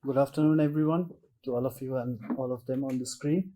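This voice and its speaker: Young PhD student, formal, mellow mid voice. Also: male voice, medium pitch. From India. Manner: formal, measured. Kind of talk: polite self-introduction.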